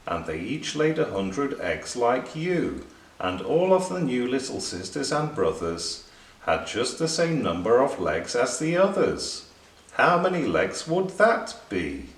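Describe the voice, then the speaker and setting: An earnest, lonely young rabbit poet from a children's storybook, played by a man, reciting rhymes with a lively, swooping pitch. The recording is roomy and a little distant from the microphone. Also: measured pace. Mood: curious, reciting. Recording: roomy, distant, faint background noise.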